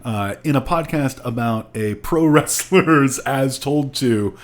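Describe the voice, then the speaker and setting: Wry male podcast co-host, mid-pitched. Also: slow pace. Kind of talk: casual podcast chat.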